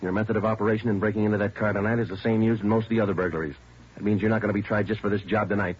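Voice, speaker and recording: A no-nonsense police detective in a vintage radio crime drama, deep-voiced and quick, every line clipped and flat. The dull old broadcast carries a faint hiss. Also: male voice, husky. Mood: firm. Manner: clipped, flat, matter-of-fact. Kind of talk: 1950s radio drama acting.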